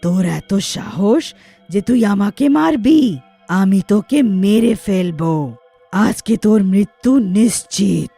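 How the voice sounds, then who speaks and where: A woman's voice: mid-range voice, lively intonation, slow, creaky, dark tone, husky, dry recording, faint music behind, full character voice. A woman voicing a vengeful headless witch in a horror cartoon, with a cackling, threatening delivery. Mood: gloating fury. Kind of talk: cartoon horror villain acting.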